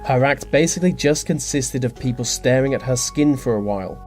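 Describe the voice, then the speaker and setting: A man reading scripted narration for a list video, slipping into dry, deadpan asides. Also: mid-range voice, fairly quick. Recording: music behind the voice. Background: background music.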